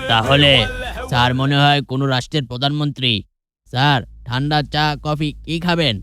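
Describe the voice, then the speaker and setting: A man voicing a sarcastic, excitable shopkeeper in a comic cartoon. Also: mid-range voice, brisk, smooth, no room sound, clean studio sound, soft background music, close to an everyday voice. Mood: mock deference. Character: sarcastic, excitable male shopkeeper mocking his customer.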